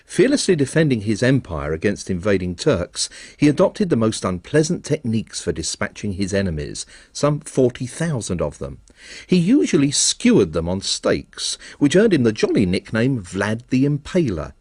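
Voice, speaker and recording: Brief: male presenter, lively pitch, slightly husky, wry scripted narration. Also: normal speed, dry recording. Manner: dry, wry understatement. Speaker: programme presenter.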